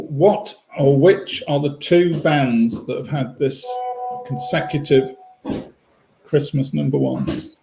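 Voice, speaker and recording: A man co-hosts a homely online quiz, his voice gravelly and pitched a little high. He reads questions plainly and adds dry asides. The sound carries a roomy echo. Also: a little mellow. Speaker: quiz co-host. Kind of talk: casual online quiz reading. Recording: echoey room.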